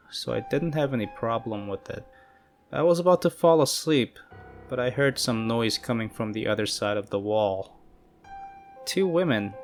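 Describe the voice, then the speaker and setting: A man giving calm, measured, scripted narration of creepy first-person stories. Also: mid-range voice. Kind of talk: scripted horror story narration. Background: faint eerie music.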